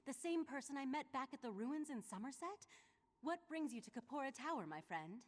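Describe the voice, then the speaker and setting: An actress playing a matter-of-fact archivist of a mage order in a video game, conversational and dryly wry. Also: female voice, high-pitched, lively intonation, fairly quick. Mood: wry, businesslike. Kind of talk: video game character acting.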